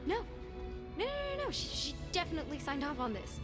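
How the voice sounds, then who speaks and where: An actress playing a cheeky, eager young space-police cadet girl in a game, quick-witted and bluffing. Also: high-pitched, sing-song. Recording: game music behind.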